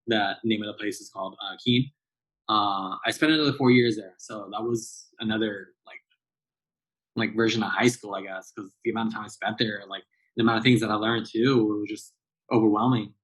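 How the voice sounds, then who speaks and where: A man's voice: lowish voice, steady tone, fairly quick, fairly bright tone, low-quality recording. A man in his late thirties, a barista guest, talking casually in a rambling way with lots of fillers and restarts. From Southern California. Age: 30s. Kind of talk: casual interview chat.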